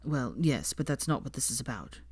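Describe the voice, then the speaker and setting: A woman voicing an anxious young man, speaking low and breathy. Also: sing-song, brisk, a little mellow, no echo, clean studio sound, heavily acted. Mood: troubled. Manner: low, subdued, breathy delivery.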